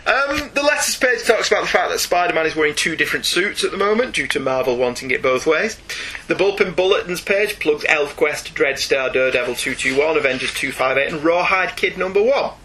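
A man's voice: a little high, animated, a little fast, sharp tone, slight background noise. A British man hosting a comics podcast, moving between scripted review and casual, wry, articulate banter.

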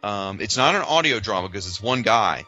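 A man's voice: lowish voice, animated, normal speed, sharp tone, lo-fi sound, soft background music. A man co-hosting a podcast, talking in an informal, opinionated, chatty way as he discusses things from loose notes. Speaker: podcast co-host.

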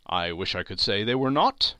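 A man's voice: fairly low pitch, animated, normal speed, pushed and bright, dry recording. A man voicing a polite, portly charity collector, formal and persuasive, in a dramatic storybook reading. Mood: polite, troubled.

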